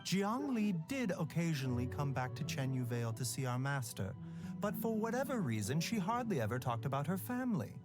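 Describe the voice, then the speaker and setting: An actor playing a calm, enigmatic young male doctor in a video game, speaking in a measured, unhurried way with a sly, teasing edge. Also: fairly high pitch, loud music behind the voice. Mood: reflective.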